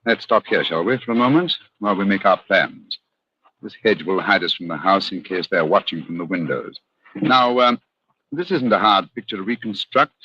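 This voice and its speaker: An actor playing an incisive, commanding English gentleman detective with a British accent in a 1940s radio drama, clipped and theatrical. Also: low-pitched, animated, moderate pace, breathy edge, dry recording, heavily acted. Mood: deductive. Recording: dull, dry old radio recording.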